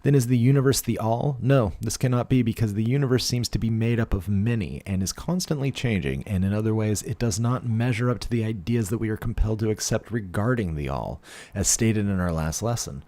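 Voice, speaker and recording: Male reader, deep register, dry sound. Expressive, emphatic delivery of dense philosophical prose. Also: a little fast, dry recording. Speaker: audiobook reader. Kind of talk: expressive read-aloud of a philosophical text.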